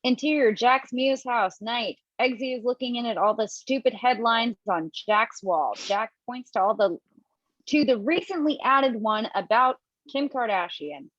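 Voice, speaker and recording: A woman reads stage directions aloud for a table read, briskly and with a fairly high voice. Her mic sounds dry and a little dull, like a home setup on a video call. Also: fairly bright tone, no room sound. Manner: brisk, laughs at her own slips. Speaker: narrator reading stage directions.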